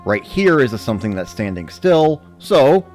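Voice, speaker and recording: A man giving a measured, matter-of-fact first-person narration of a scripted story. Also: medium pitch, big pitch swings, a little mellow, soft background music. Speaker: narrator of a dramatic reading.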